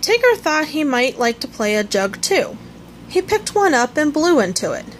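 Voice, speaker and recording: A woman reading a children's story aloud in an expressive storytelling manner. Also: sits high, normal speed, a little hiss or hum, poor audio. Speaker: storybook reader. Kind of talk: scripted children's story narration, read aloud.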